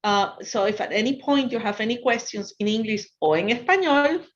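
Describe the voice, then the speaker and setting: A woman's voice: a little high, lively intonation, a little fast. A woman from Ecuador with an Ecuadorian Spanish accent, a native Spanish speaker, giving an encouraging motivational presentation to students as a guest speaker. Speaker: guest speaker, financial consultant. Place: online video presentation over Zoom. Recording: dull, lo-fi video call audio.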